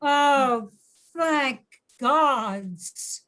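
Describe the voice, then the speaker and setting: Actress as an exasperated, worried best friend in a screen drama, slightly high voice with drawn-out, urgent delivery. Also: female voice, animated, fairly bright tone, full character voice. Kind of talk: screenplay table read acting.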